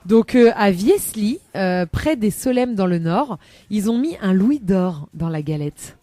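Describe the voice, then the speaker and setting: A woman's voice: mid-range voice, animated, a little slow, mellow, dry recording. A woman chatting on a live radio show as a columnist, rambling with many hesitations and laughing often, into a studio microphone.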